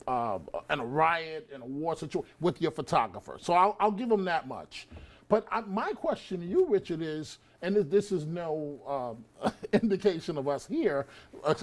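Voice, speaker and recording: A male panelist with an American accent and a rather high voice. His delivery is very animated and emphatic, full of playful rhetorical questions. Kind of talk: casual opinionated banter.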